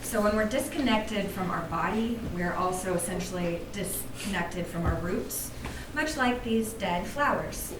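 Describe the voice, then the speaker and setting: A woman leading a workshop, speaking gently and invitingly to a group, with fillers. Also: mid-range voice, unhurried, few pauses. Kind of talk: guided workshop talk with meditation. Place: room with a live audience. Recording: roomy, distant mic with room noise.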